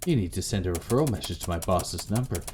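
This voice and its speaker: A man's voice: low voice, animated, fast talker, darkish tone. A man voicing a polite, insistent woman who poses as a cleaner in a visual novel. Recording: music playing under the voice.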